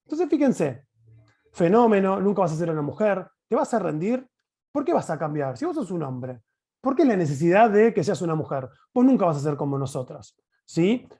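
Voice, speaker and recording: High-voiced, animated Argentine man presenting. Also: moderate pace, husky. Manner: expressive, emphatic, uses rhetorical questions. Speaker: teacher presenting at a conference. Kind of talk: explanatory conference talk. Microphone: video call.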